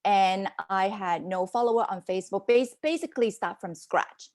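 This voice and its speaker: A woman in her forties, a business mentor raised in Hong Kong and Canada, telling her life story as a podcast guest in an animated, conversational way. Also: mid-range voice, moderate pace. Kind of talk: casual interview storytelling.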